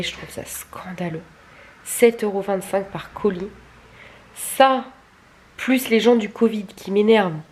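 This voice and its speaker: A young adult woman chatting casually to camera in a candid, crude, colloquial way, grumbling and poking fun at herself. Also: mid-range voice. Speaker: vlogger filming stories. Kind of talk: casual confessional chat to camera.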